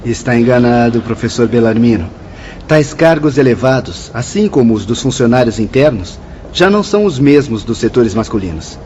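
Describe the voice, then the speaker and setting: A man's voice: medium pitch, close to an everyday voice. A man with a Brazilian accent playing a courteous, instructive spirit guide in a formal radio drama. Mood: correcting. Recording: dull audio with faint music.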